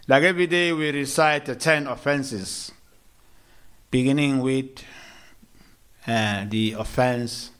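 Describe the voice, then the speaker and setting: A man from South Africa giving a morning sermon in a temple, slow and measured, with hesitant restarts and gentle humor. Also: medium pitch. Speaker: monk giving a morning lecture. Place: temple hall.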